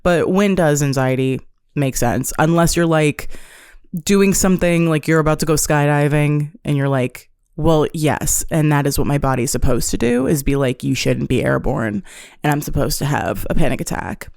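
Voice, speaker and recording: Woman podcast host, casual confessional chat, gravelly fry, dry close sound. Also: deep voice, normal speed, a little mellow, no room sound. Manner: chatty, self-deprecating, swears casually. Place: apartment room. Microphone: on-camera setup.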